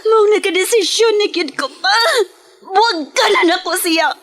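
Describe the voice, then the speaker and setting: A woman's voice: extremely high pitch, normal speed, fairly bright tone. A woman acting in a radio drama as a distraught, defiant young wife, tearful and pleading. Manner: tearful, pleading, emotionally heightened. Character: distraught, defiant young wife leaving her jealous husband.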